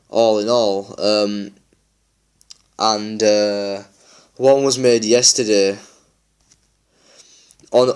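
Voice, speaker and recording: A man talks loosely over his gameplay, his voice a little low and worn croaky from a long session. He rambles with plenty of fillers, friendly and unscripted. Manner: rambling, chatty, many fillers. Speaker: gaming YouTuber. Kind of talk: casual gameplay chat.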